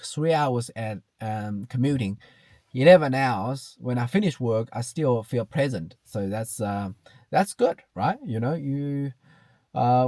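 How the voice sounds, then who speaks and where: A man's voice: mid-range voice, darkish tone, no echo. Hesitant Chinese-accented man in his thirties. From China. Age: 30s. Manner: hesitant, many fillers and restarts, repeats "you know". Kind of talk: reflective personal talk to camera.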